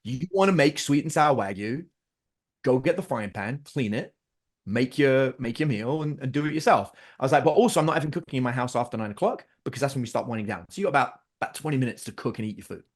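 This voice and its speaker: A fast-talking middle-aged man who tells stories about his children, his words tumbling out quickly. He switches voices to play each person in the scene and keeps the chat casual and animated. His voice sits at an ordinary middle pitch. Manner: animated, acts out his kids' and his own lines. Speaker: podcast guest. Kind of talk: storytelling in casual conversation.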